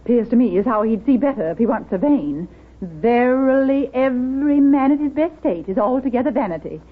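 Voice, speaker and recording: A woman's voice: fairly high pitch, expressive, fairly quick. An actress playing a pious, touchy, gossipy small-town woman in an old radio drama, with a prim, rustic American manner of speech. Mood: prim, sniffy. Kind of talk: 1930s radio drama acting. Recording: muffled, scratchy 1930s radio broadcast.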